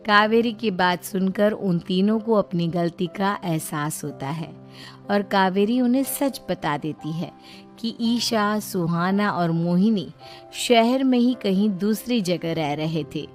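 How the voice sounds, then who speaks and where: A woman's voice: medium pitch, slow. Female moral-story narrator over music.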